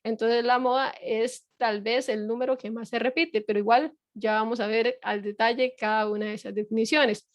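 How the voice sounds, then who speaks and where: Middle-aged woman teacher, patient and repetitive, slightly high-pitched, dry close sound. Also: no room sound, highs cut off. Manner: patient explaining with frequent fillers and rhetorical checks. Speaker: university lecturer. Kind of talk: online lecture.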